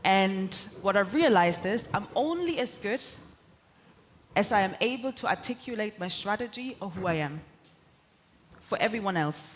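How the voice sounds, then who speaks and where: A woman's voice: mid-range voice, expressive, moderate pace, slight background noise. A woman whose first language is German gives an earnest, emphatic conference talk, building her points in repeated lists. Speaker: keynote speaker.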